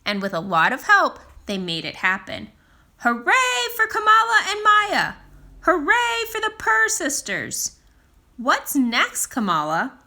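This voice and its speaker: Lady reading a picture book to kids, slow and bright, really expressive storyteller. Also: very high voice. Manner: animated, deliberate storytelling with drawn-out emphasis. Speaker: storytime reader. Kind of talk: expressive children's picture-book read-aloud.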